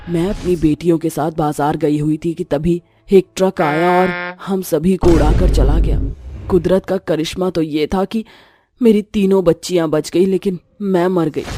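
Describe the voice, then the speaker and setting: A woman's voice: on the low side, warm tone. Woman voicing a fierce, menacing ghost witch mother.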